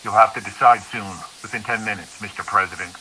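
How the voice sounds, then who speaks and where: General on radio: urgent, formal, clipped, piercingly forceful, light hiss. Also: male voice, lowish voice, fairly even intonation, a little fast, rough recording. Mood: grave, urgent. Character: grave, urgent American military general reporting. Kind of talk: audio drama acting. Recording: hissy radio transmission, faint noise.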